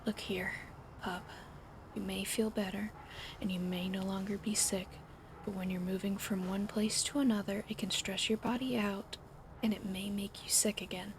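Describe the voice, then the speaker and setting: A woman whispering softly in an ASMR roleplay, caring but gently bossy, recorded close to the mic at home with a fan running behind her. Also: medium pitch, steady tone, normal speed. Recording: fan noise in background.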